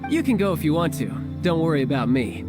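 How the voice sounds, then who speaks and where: A breezy young diplomat with a sly streak, from a video game. His voice is on the high side and very lively, teasing and smooth-talking, with music clearly behind it. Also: fast talker. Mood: breezy, pleased. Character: breezy, sly young male diplomat, playfully confident schemer. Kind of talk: English video game voice acting. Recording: loud background music.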